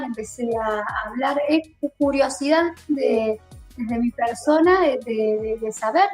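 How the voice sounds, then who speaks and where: A woman's voice: high-pitched, a little mellow, rough recording. Hesitant Argentine woman from Córdoba. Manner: hesitant, many fillers and repeated words. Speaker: guest. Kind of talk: online interview.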